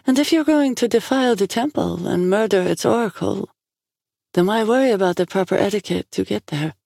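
Woman voicing a solemn, high-pitched female oracle. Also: gravelly, a little mellow, no room sound, studio quality. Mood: stern, ominous. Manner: slow, portentous, formal phrasing. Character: solemn female oracle, cryptic and sorrowful prophetess.

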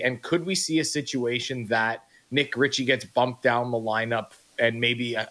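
A man's voice: medium pitch, a bit bright. A man hosting sports radio, asking a guest long and detailed questions about hockey.

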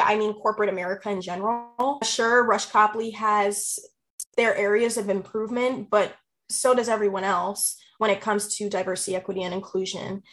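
Young woman in her 20s, earnest and heartfelt, talks in short measured bits. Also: mid-range voice, a bit bright. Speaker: interviewee. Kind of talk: earnest personal reflection.

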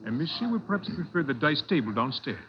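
Higher-voiced formal croupier. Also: male voice, sing-song, fast talker, very noisy. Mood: polite, detached. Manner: formal, clipped announcing. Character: formal, courteous casino croupier. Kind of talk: 1940s radio acting. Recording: dull old radio, loud crowd din.

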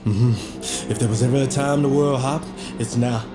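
A man's voice: mid-range voice, fairly even intonation, normal speed, natural voice. An actor playing a loyal man, a concerned friend, in an audio drama. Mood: pleading. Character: alarmed, loyal Southern friend pleading for sense. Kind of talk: dramatic audio fiction acting. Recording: music behind the voice.

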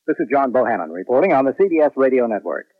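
A man's voice: mid-range voice, sing-song, very fast, no room sound. A man with an American accent reading a scripted newscast as a network radio anchor. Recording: dull, dry old radio broadcast.

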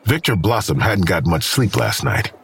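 A man narrates an audio drama in a very low voice that moves little in pitch. The delivery is scripted storytelling, with faint noise behind it. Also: sing-song, normal speed.

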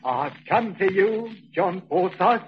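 An actor playing an insane, fanatical avenger who declaims ominously in 1940s radio suspense style. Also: male voice, sits high, lively intonation, slow pace. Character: deranged former asylum inmate, fanatical self-appointed angel of death. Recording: muffled old radio, faint hiss.

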